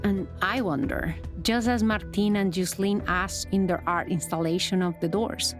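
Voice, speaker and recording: A woman narrating a documentary report in a calm, descriptive, scripted manner. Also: mid-range voice, normal speed. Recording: studio voice-over with music bed.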